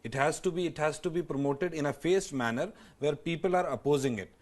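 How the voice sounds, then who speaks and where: Measured, repetitive man. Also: on the high side, darkish tone. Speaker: party spokesperson. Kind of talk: TV debate closing remarks.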